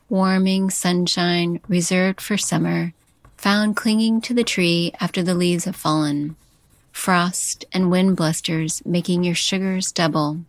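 Slow, intimate woman narrating lyrically. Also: lowish voice, gravelly, no echo. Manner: slow, intimate, poetic delivery. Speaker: podcast host and chef. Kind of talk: scripted narration, lyrical love letter.

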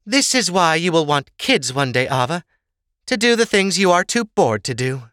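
Man voicing a high-pitched, motherly noble matriarch. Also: big pitch swings, moderate pace, a bit bright, dry recording, clean studio sound. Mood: coaxing. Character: Sorsha, worried, drinking, loving matriarch and noble mother.

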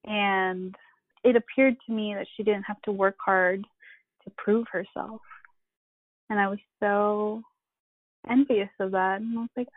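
A woman's voice: medium pitch, steady tone. Woman guest on a tinny phone line. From Philippines. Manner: reflective, halting, with self-deprecating laughs. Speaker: podcast guest, family therapist. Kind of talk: candid personal conversation.

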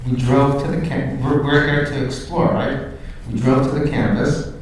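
A man whose first language is Hebrew tells his story hesitantly, restarting sentences as he goes. His voice sits at an ordinary middle pitch. It sounds far from the mic in a very echoey room, with faint noise underneath. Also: level tone, normal speed. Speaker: co-host and Chabad emissary. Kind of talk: personal storytelling in a live interview. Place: live recording before an audience.

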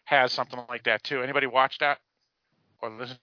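A man with an American accent hosting a casual discussion podcast about a TV show, speaking in a rambling, halting way with repeated words. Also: medium pitch, steady tone, no echo, muffled recording. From Massachusetts, United States. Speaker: podcast host.

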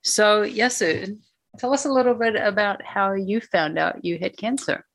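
A woman's voice: mid-range voice, unhurried, gravelly, no echo, rough recording. Chatty woman podcast host, dry close mic. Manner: warm and chatty, laughs often. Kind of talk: casual conversation with her husband.

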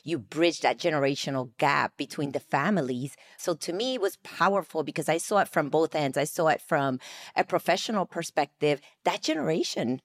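Podcast co-host, woman with a low voice; casual, affirming conversation. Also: lively intonation, normal speed.

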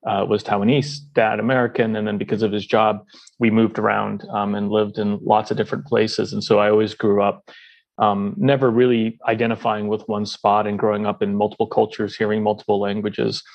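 Middle-aged male interview guest, gravelly low voice, conversational delivery. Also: little pitch movement, fairly quick, darkish tone, muffled recording. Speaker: podcast guest, company founder.

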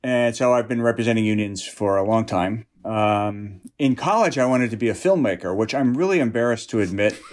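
A man's voice: on the low side, fairly quick, hardly any pauses. A middle-aged man giving thoughtful, slightly wry answers as an interview guest. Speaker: interview guest, union executive director. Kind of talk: conversational interview.

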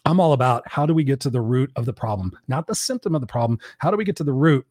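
A hoarse man hosts his live podcast while fighting a cold, driving his points home like a pep talk. He talks at a rapid clip. The sound is close and dry, with no room around it. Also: mid-range voice, no echo. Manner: fast, energetic pep-talk delivery. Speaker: podcast host.

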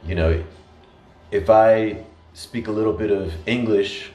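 Lower-voiced American man telling stories. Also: unhurried, faint music behind. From United States. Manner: storytelling, animated, many restarts. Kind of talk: casual conversation. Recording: echoey room.

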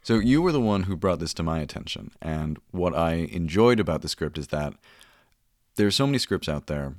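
Very deep-voiced man hosting a casual interview. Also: expressive, moderate pace, dry recording, clean studio sound. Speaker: podcast host and audio performer. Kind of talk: relaxed interview chat. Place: small closet home recording space. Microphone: close studio-style mic in a closet.

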